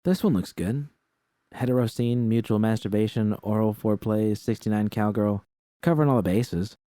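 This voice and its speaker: A man's voice: lowish voice, normal speed, mellow, no echo, close to an everyday voice. Man voicing a frank, bold young woman. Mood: blunt, confident.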